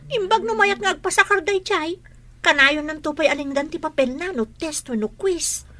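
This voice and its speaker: A pushy, complaining teenage student, played by a woman in a radio drama. The voice is high and piercingly bright, whining and insistent. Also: moderate pace.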